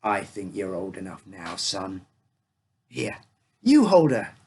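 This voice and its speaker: A man's voice: low-pitched, very animated, slow, dark tone, a little hiss or hum, pitched below the performer's own voice, exaggerated voice. A man reading a story, voicing a secretive, apologetic father who confides in his young son in a matey way. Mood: coaxing. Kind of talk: character dialogue in a read story.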